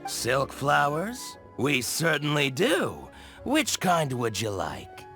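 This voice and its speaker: A haughty shopkeeper draws out his words slowly in a mid-pitched voice. He is pompous and theatrical, with extremely swooping intonation, an anime game merchant over music. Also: huge pitch swings, bright, forceful tone, exaggerated voice. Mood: obliging. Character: snobbish, haughty shopkeeper who fawns on connoisseurs. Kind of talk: English anime game dub acting.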